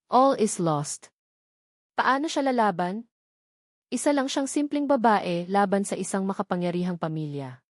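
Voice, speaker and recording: A woman's voice: mid-range voice, darkish tone, dry recording, clean studio sound. A woman reading scripted dramatic narration of a romantic story.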